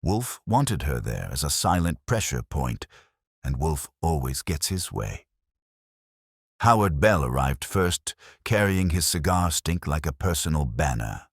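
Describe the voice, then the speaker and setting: A man's voice: a bass voice, very animated, unhurried, husky, no echo, studio quality. A man reading a scripted detective story as an audiobook narrator.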